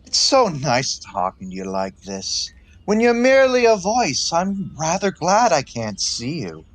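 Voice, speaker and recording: A man's voice: on the high side, huge pitch swings, a little slow. A man acting an earnest, naive young suitor in a 1920s stage comedy, courteous and genial. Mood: smitten.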